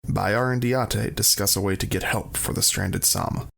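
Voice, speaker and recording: A man narrates scene headings for an audio drama from a script. His voice is fairly low and gravelly. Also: fairly even intonation, normal speed, a bit bright.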